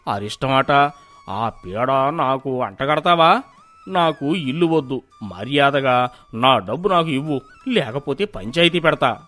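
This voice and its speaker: A man voicing an excitable, gullible village merchant in a cartoon moral story, exclaiming in an exaggerated way. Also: a little high. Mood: angry, panicky.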